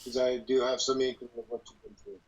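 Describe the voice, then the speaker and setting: A man's voice: medium pitch, flat delivery, fast. A man in his early seventies talking casually and in a rambling, folksy way as he leads a group call. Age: early 70s. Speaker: church leader leading a group call. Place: dim room at home, on a video call. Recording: dull, lo-fi video call audio.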